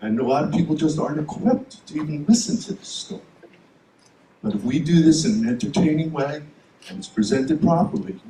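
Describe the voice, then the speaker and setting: A man presenting a film project to a conference audience in an earnest, pitching manner. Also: warm tone. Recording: echoey room, speaker far from mic, faint noise.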